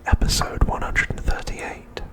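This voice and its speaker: A man whispering a scripted recap as ASMR narration, softly and with deliberate pauses, close to the microphone.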